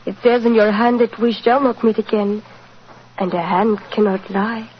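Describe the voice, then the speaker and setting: An actress playing a solemn, mystical Romani fortune-teller in a 1940s radio drama, speaking in formal, portentous phrases. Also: female voice, mid-range voice, fairly even intonation, moderate pace, close to an everyday voice. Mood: ominous, solemn. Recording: dull, hissy old radio recording.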